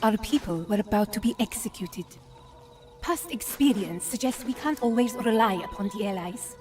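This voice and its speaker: A woman's voice: on the high side, moderate pace, darkish tone, soft background music, natural voice. A woman as a tough resistance leader. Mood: wary challenge. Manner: curt, challenging. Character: tough female Italian resistance leader, sharp, wary. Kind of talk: video game cutscene acting.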